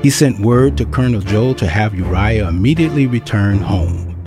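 A man narrating an audio drama in a steady storytelling delivery. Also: fairly low pitch, normal speed, music in the background. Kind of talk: scripted narration.

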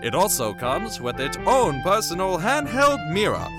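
A man voicing a peppy, pushy pitchman in a mock commercial. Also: huge pitch swings, a little slow, music in the background, heavily acted.

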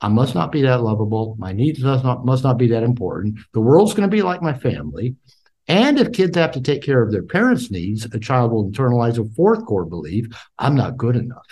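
Animated older man, lively pitch. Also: a little fast. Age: 60s. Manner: animated, repeats words when starting sentences. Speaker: podcast guest, author. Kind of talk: casual interview talk. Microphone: call.

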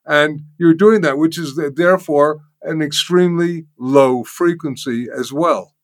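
Older man, interview guest, slightly high voice, dry, halting, explanatory. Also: no room sound. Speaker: guest, sound healing teacher. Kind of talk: conversational explanation.

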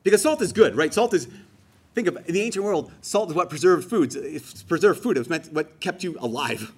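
A man's voice: a little high, lively intonation, fairly quick, slightly rough. A man giving a homily in a conversational, emphatic way, rising on key phrases. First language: English. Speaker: preacher.

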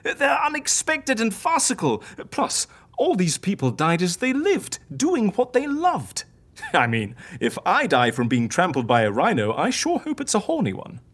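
A woman's voice: mid-range voice, wildly swooping pitch, unhurried, husky, full character voice. A woman playing an eccentric female healer in an audio drama, theatrical, playful and persuasive. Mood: gleeful.

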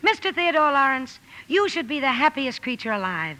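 Bright, high-voiced tomboy girl. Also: sing-song, long reverb tail, heavily acted, low-quality recording. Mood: exuberant, friendly. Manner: brisk, gushing exclamations. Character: exuberant, blunt tomboyish teenage girl, warm-hearted elder sister. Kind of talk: 1940s radio drama acting. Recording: hissy old 1950 radio broadcast.

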